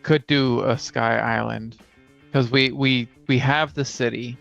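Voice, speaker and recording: Male co-host, casual and thoughtful, even delivery with vocal fry, slightly dull recording over music. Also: mid-range voice, unhurried. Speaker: co-host and player on a tabletop gaming show. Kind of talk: casual brainstorming chat.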